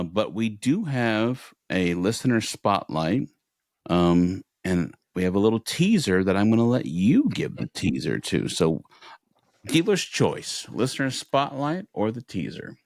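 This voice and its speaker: A man with a slightly low voice hosts a casual podcast. He moves between loose chat and playfully formal announcements. The recording is very dry and close. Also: dry recording. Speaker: podcast host.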